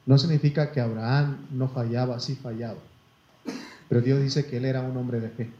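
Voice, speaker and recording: Predicador mexicano, voz suave y resonante. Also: male voice, mid-range voice, fairly even intonation, normal speed, mellow. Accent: Mexican Spanish. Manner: folksy, addresses listeners as brother, asks for amens. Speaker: preacher. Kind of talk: sermon with Bible reading. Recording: echoey room, slightly muffled.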